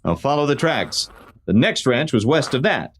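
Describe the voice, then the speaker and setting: A man playing a resolute masked cowboy hero in a radio play, explaining and giving orders with crisp authority in an American accent. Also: mid-range voice, big pitch swings, normal speed. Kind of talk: Western radio play acting.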